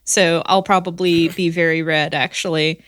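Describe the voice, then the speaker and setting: A podcast co-host with a dry, wry sense of humor, chatting casually. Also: female voice, lowish voice, level tone, moderate pace, fairly bright tone, dry recording. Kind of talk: casual chat.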